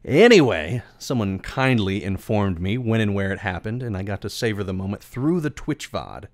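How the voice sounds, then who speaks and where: A man with a fairly low voice narrates a scripted story in a theatrical, wordy way. The recording is close and very dry. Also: expressive, normal speed, no echo. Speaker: video creator and voice actor.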